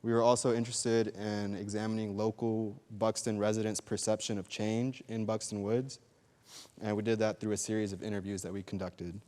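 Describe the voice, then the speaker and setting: A young man giving a student research presentation in a steady, slightly halting way with fillers. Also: lowish voice, smooth, long reverb tail. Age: young adult. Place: lecture hall.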